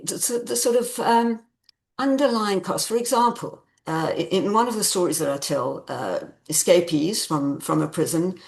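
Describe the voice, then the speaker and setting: Low-voiced woman author in interview. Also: vocal fry, darkish tone. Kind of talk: conversational interview answers about own book.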